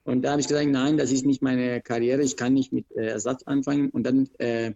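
A man from Iran with an Iranian accent, a wrestler recounting his career in an interview, speaking in halting, broken phrases with many restarts. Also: mid-range voice, little pitch movement, creaky, mellow, highs cut off. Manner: broken grammar, frequent restarts and hesitations. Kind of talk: interview, telling his life story.

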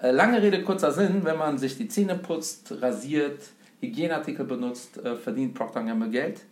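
A man's voice: a little high, moderate pace. A man from Germany explaining investing in a casual conversation, with many fillers and examples. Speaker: investment speaker, guest. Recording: roomy echo.

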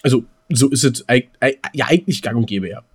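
A man's voice: mid-range voice, a little fast, breathy edge. A man with a German accent co-hosting a casual podcast, explaining things and putting questions to his partner and the listeners. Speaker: podcast co-host.

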